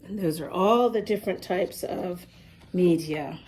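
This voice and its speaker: A woman's voice: on the low side, unhurried, darkish tone, slight background noise. A woman reading a storybook aloud to young children, explaining as she goes in an emphatic, sing-song manner. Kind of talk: expressive read-aloud to young children with asides.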